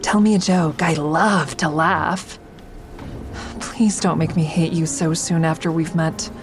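A guarded woman in a fiction podcast, speaking low over prominent music. She turns inward as she narrates, wry and understated, and keeps the same careful tone in dialogue. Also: fairly even intonation, a little slow. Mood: uneasy, inward. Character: guarded woman, a stand-up comic and crisis-line volunteer, narrating. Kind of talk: first-person audio drama narration and dialogue.

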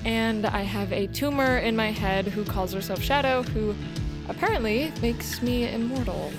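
An actress playing a spirited, emotional high-school girl in a dramatic audio fiction, with music behind her voice. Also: moderate pace, close to an everyday voice. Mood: awkward, friendly. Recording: music under the voice.

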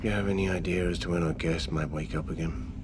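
A man acting as the calm leader of an expedition crew in an audio drama, speaking with measured authority. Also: low-pitched, natural voice. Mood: measured, firm. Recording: clear background noise of vehicle ambience.

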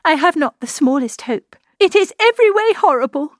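A woman voicing an agitated, breathless young gentlewoman in anguish, in a storybook reading. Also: on the high side, moderate pace, dry recording, clean studio sound, voice pushed up, close to an everyday voice. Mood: agitated, despairing. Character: distraught young gentlewoman, anguished and self-reproaching.

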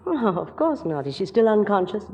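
An actress playing an outwardly doting, coaxing middle-aged aunt who is quietly a killer, in a 1940s radio drama. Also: female voice, medium pitch, very animated, a little fast, slightly rough. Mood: sweet, fussing. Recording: dull old radio recording, long reverb.

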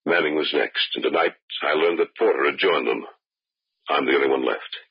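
An actor playing a haunted, fearful man in an old-time radio drama, speaking gravely and insistently. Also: very deep voice, normal speed, full character voice. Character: haunted, fearful man convinced a goddess hunts him. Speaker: narrator of his own tale. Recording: dull old radio recording.

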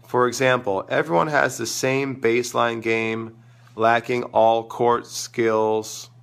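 A man's voice: mid-range voice, level tone, slow pace, fairly bright tone. Crackly-voiced man, casual tennis coach. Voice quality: crackly, gravelly. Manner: emphatic, opinionated, informal. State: losing his voice, sore throat. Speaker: tennis coach hosting a live online show. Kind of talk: casual live-stream talk, answering viewer questions.

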